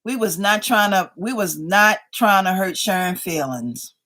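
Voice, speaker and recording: Slow-talking playful woman in her fifties. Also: mid-range voice, animated, bright, forceful tone. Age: 50s. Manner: playful, chatty, keeps addressing viewers as y'all. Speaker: livestream host. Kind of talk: casual live chat reading viewer comments.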